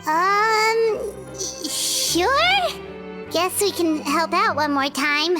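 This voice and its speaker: Game sidekick: tiny cheeky fairy, piping high squeak, very animated, music behind. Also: female voice, drawn-out words, full character voice. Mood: hesitant. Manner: squeaky, chirpy, refers to herself by name. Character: tiny chirpy floating fairy companion, childlike, cheeky and curious. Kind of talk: video game voice acting.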